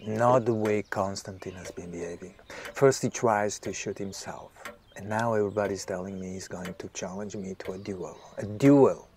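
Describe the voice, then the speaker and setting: A man's voice: fairly low pitch, steady tone, moderate pace, a little mellow, natural voice. A man playing a mild, bemused writer in a naturalistic audio drama, hesitant and understated. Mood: exasperated, puzzled. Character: mild, bemused male writer, polite houseguest.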